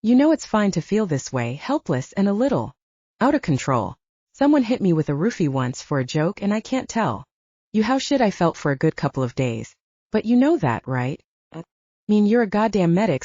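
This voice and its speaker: A woman's voice: fairly low pitch, sing-song, moderate pace, mellow, no room sound, lo-fi sound. A woman voicing a young, earnest, protective male soldier in an audiobook, talking in a blunt, casual, urgent way. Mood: reassuring.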